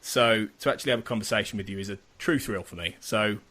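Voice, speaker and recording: A man's voice: lowish voice, quick pace, pushed and bright. A man hosting a podcast interview, eager and a little stammering as he welcomes his guest. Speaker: podcast host.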